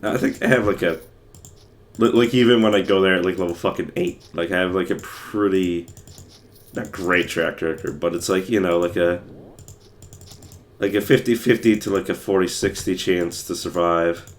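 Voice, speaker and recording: A hoarse young man who is losing his voice, rambling casually with many fillers while he streams a game. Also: low voice, normal speed, a little hiss or hum. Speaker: livestreamer playing a game. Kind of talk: casual gameplay commentary.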